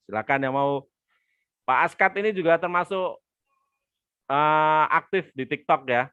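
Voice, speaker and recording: Guy running a live marketing webinar, smooth clear voice, kinda dull dry sound. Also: medium pitch, pushed and bright, no room sound. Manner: chatty, addresses viewers as friends, reads comments aloud. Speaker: business trainer hosting a live webinar. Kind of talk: live-stream teaching with viewer shout-outs.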